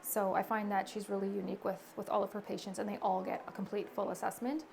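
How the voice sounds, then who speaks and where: Gravelly-voiced woman. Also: medium pitch, level tone, fast talker, darkish tone, a little hiss or hum. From Canada. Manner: thoughtful, hedging with fillers. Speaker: nurse practitioner. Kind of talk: testimonial interview answers.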